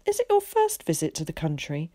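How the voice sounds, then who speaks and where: A bright, eager schoolboy spills out friendly chatter in a raised, lively voice, as a woman reader puts him on. The words bounce up and down in pitch, and the sound is close and dry with no room around it. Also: no room sound, exaggerated voice. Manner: quick, bright, well-spoken chatter.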